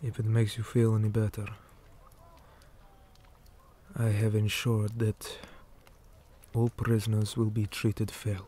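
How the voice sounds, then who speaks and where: A man playing a weary, principled orc commander in an ASMR roleplay, speaking gravely and measuredly and often dropping into breathy whispers. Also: lowish voice, completely flat delivery, normal speed, warm tone. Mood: calm, resolute.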